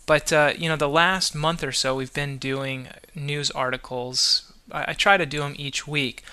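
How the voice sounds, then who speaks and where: A man's voice: on the high side, fairly even intonation, unhurried, bright, forceful tone, clear, resonant tone. A man hosting a podcast, talking casually and loosely, with many restarts and fillers. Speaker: podcast host.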